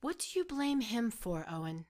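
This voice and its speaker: A woman's voice: fairly high pitch, big pitch swings, moderate pace, a little mellow. An actress playing a middle-aged woman, earnest and reproachful, whose mother is dying. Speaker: actor.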